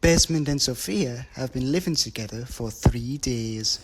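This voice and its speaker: A man playing a mock-serious reality TV narrator, delivering scripted introductions in a deadpan announcer manner. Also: mid-range voice, measured pace, slightly rough.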